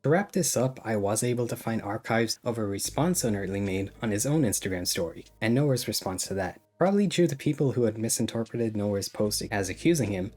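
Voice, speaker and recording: A man with a lowish voice delivers scripted video-essay narration, dry and sarcastic in his critique. His commentary is critical throughout. Soft guitar music plays faintly underneath. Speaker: YouTube commentator.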